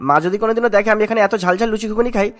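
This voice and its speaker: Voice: medium pitch, steady tone, rapid-fire, lightly acted. A performer voicing a charming, food-loving young man in a cartoon, breezy and insistent.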